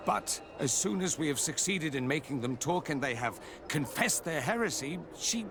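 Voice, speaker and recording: A man's voice: on the high side, lively intonation, normal speed, background noise. A man voicing a pious, evasive inquisitor in a video game, haughty and indignant in a formal manner. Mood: grudging.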